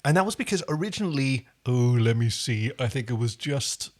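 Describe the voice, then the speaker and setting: A man's voice: mid-range voice, very animated, brisk. A man co-hosting a podcast in casual, enthusiastic, engaged conversation about a television series. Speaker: podcast co-host.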